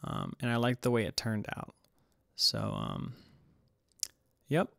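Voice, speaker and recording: A man's voice: mid-range voice, wildly swooping pitch, slow, gravelly, a bit bright, dry recording, clean studio sound. A man giving a casual, hesitant tutorial voice-over as he explains music production. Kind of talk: casual screen-recorded tutorial narration.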